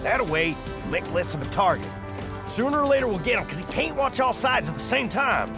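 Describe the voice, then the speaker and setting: An actor playing a cunning, ruthless American outlaw gang leader with a folksy manner in a western audio drama. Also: male voice, sits high, very animated, a little fast, over music. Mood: commanding.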